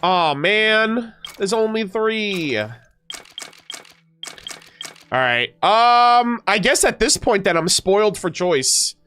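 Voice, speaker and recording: A man's voice: sits high, animated, slow pace, long pauses, pushed and bright, slight background noise. A man streaming a game, chatting casually with viewers, slightly nasal and stuffed up, with many fillers and restarts.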